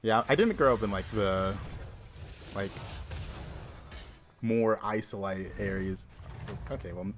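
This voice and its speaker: Low-voiced young man thinking aloud. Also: slow pace, long pauses, slight background noise. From Georgia, US. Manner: relaxed, thinking aloud with fillers. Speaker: game streamer. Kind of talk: casual livestream commentary.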